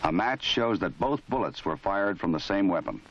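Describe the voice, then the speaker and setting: Man, low-pitched voice, formal scripted narration, measured delivery, muffled vintage film audio. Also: sing-song, slight background noise. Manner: measured, formal, matter-of-fact. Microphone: voice-over. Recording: dull lo-fi 1970s film soundtrack.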